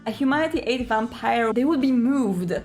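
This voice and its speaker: Higher-voiced woman presenting, music behind. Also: moderate pace, darkish tone. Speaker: presenter giving roleplaying advice. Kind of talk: explanatory video presentation.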